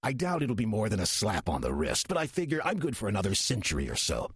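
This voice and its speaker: An actor playing a wry, lazy old male demon who poses as a churchgoer in an audio drama, gravelly, husky and theatrically sardonic. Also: on the low side, wildly swooping pitch, quick pace, no room sound, studio quality, exaggerated voice. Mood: sly, amused. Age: older.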